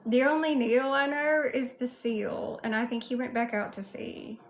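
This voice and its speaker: A woman's voice: fairly high pitch, normal speed. A woman with a Southern US accent hosting a casual livestream, chatting and addressing viewers one by one. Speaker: livestream host.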